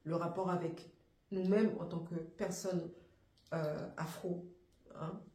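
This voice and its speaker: A woman with a cold-roughened, hoarse voice, lowish and mellow, explaining an afro hair care course. She talks in a halting, thinking-aloud way, earnest and informal. The sound carries a roomy echo. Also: steady tone. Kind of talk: informal spoken course presentation.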